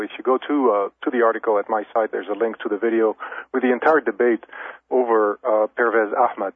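Man, phone-in radio guest, husky tone, measured and explanatory over a tinny line. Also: mid-range voice, no echo. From Lebanon. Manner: measured, explanatory, many restarts. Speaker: journalist guest.

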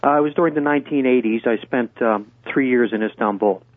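An older man, a guest on a radio interview, speaking in a measured way with many hesitations and restarts. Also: medium pitch, no room sound. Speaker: guest, former intelligence officer. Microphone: phone call. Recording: tinny phone line.

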